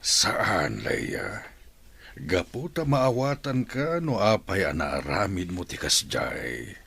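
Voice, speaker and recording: A man as a deep, gravelly, devoted suitor. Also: sing-song, takes it slowly, fairly bright tone, heavily acted. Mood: tender, earnest. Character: tender, patient, devoted male suitor. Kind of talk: Ilocano radio drama acting.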